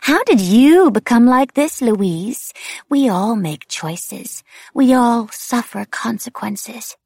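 A woman voicing a sinister, demented female wraith who taunts in a breathy, whispering way with sing-song repetition. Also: fairly high pitch, lively intonation, takes it slowly, a little mellow, no room sound, clean studio sound, voice pushed up, heavily acted. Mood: eerie, teasing.

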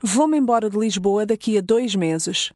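A woman's voice: medium pitch, moderate pace, mellow, dry recording, clean studio sound. A woman with a European Portuguese accent, carefully repeating model phrases for a language course. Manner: clear, careful repetition of phrases.

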